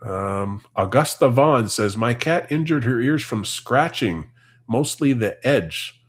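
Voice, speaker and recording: A man's voice: medium pitch, expressive, takes it slowly. A Canadian man in his forties or fifties with a Canadian accent, hosting a farm livestream and reading out viewers' comments in a chatty, easygoing way. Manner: chatty, easygoing, laughs often. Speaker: farm channel host reading live comments. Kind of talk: casual livestream chat.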